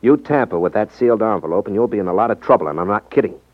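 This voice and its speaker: An actor playing a tough, determined private detective, terse and firm, in a 1950s radio drama. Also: male voice, on the low side, expressive, racing, dry recording. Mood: stern warning. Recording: muffled 1950s radio recording.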